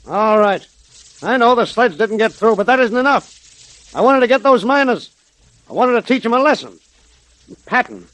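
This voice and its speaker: A blustering gangland boss of a mining town in an old radio play. His voice sits high and snarls out slangy threats. The sound is dry, like a vintage thirties broadcast. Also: expressive, normal speed, long pauses, fairly bright tone, no room sound, rough recording. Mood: angry, scolding. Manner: snarling, slangy tough-guy talk. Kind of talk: 1930s radio acting. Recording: vintage 1930s radio recording.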